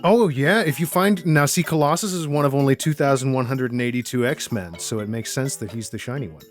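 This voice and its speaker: A man's voice: mid-range voice, a little fast, soft background music. A man hosting a casual podcast chat, introducing guests with playful jokes. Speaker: podcast host.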